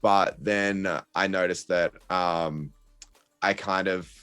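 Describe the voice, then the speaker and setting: A man's voice: low-pitched, steady tone, slow pace, fairly bright tone, clean tone. A young man talking casually as a podcast guest, hesitant, with many ums and restarts. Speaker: guest content creator.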